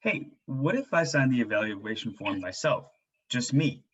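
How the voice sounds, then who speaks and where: A man's voice: medium pitch, lively intonation, moderate pace, fairly bright tone, husky, highs cut off. A man playing a worried, frustrated father in a staged drama reading, with a pleading, earnest manner. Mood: resigned, desperate. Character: worried, frustrated stay-at-home father and husband.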